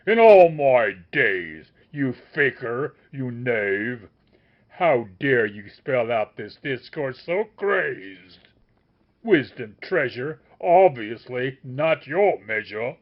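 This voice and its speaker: A man voicing an obsessive male seeker of truth who shouts a scornful tirade, on a dull lo-fi recording. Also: medium pitch, very animated, takes it slowly. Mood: furious.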